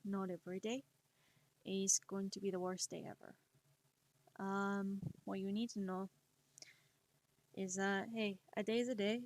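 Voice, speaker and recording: Woman streamer, slow and soft-spoken, mid-range voice, encouraging chat. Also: mid-range voice, no room sound. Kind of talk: casual chat while drawing on stream.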